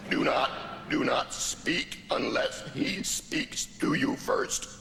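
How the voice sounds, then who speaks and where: An actor playing an officious alien herald of a lunar court in a radio drama, making formal proclamations. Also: male voice, medium pitch, slow pace, creaky. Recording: echoey reverberant hall, faint noise.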